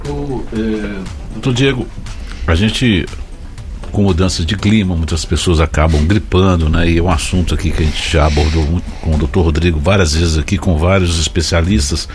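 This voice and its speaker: Man, co-host, very low voice, hesitant questioner, faint music bed. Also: normal speed. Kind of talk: radio talk show co-hosting.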